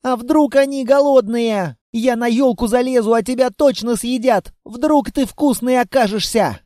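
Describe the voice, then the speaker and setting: A man voicing a chatty little girl, a child of darkness, who pleads and chatters without pause. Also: extremely high pitch, a little mellow, no room sound, clean studio sound, pitched above the performer's own voice. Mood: anxious.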